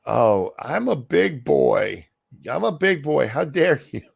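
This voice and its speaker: Gravelly, animated man ranting. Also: mid-range voice, slow. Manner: crude, sarcastic, loud outbursts. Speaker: stream host. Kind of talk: casual profane ranting chat.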